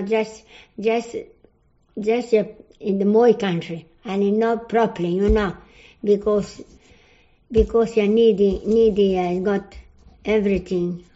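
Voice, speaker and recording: Older Croatian woman, slow halting English. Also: medium pitch, mellow. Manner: halting broken English, searching for words. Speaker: interviewee. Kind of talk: oral history interview. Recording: dull lo-fi recording.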